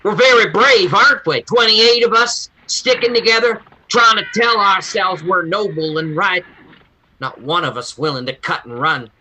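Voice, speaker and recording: A man plays a bitter young son full of self-loathing in a radio western. His voice is piercing and tense, a little high. There is faint noise behind him. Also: normal speed, sharp tone, low-quality recording. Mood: bitter anguish. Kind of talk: old-time radio drama acting.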